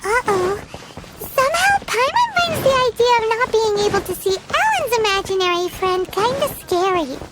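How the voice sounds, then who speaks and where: A woman voicing a tiny, childlike, excitable fairy companion in a video game, bubbly and given to exclaiming. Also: very high voice, normal speed, very noisy. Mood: uneasy, puzzled. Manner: refers to itself in third person, exclaiming.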